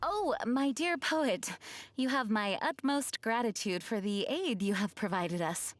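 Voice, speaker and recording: Formal, dutiful knight commander. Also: female voice, a little high, lively intonation, a little slow, no room sound. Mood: grateful. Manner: formal, polite, composed. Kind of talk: video game voice acting.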